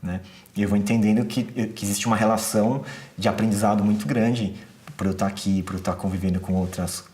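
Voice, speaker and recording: A man's voice: lowish voice, steady tone, a little fast, darkish tone. A young Brazilian man, an entrepreneur, speaking thoughtfully and earnestly in a documentary interview. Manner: thoughtful, earnest, many rhetorical questions.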